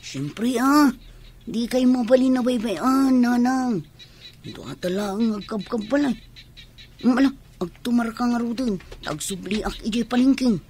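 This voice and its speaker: A woman's voice: fairly high pitch, a little slow, darkish tone, a little hiss or hum, close to an everyday voice. A woman acting as a dutiful, caring young adult talking to a parent in an Ilocano radio drama.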